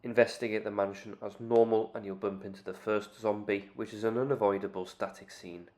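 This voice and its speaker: A man's voice: on the low side, level tone, a little fast, darkish tone. A man giving scripted, explanatory video game commentary in a matter-of-fact manner. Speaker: video game analyst narrator.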